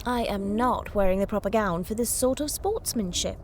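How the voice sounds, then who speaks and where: A woman's voice: medium pitch, moderate pace, darkish tone, close to an everyday voice. A woman playing a courteous, old-fashioned young lady in an audio drama, speaking in a formal, genteel and earnest way. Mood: prim, formal. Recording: noisy ambience behind the voice.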